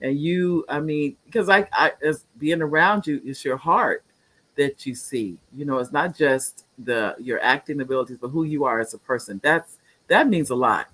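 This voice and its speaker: A woman's voice: low-pitched, normal speed, a bit bright, rough recording. A woman hosting a talk show, praising her guest effusively and talking in loose, rambling restarts. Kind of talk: casual interview chat.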